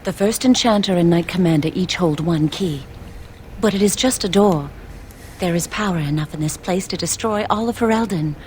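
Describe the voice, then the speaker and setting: A woman playing a devout, quietly determined young initiate in a video game, solemn and measured. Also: on the low side, a little hiss or hum, natural voice. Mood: persuasive. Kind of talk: scripted video game dialogue.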